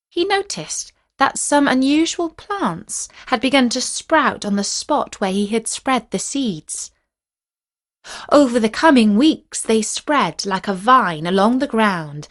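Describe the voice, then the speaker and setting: Slow-paced woman storyteller. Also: mid-range voice. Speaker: storyteller, audiobook narrator. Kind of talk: scripted narration of a folk legend for listeners.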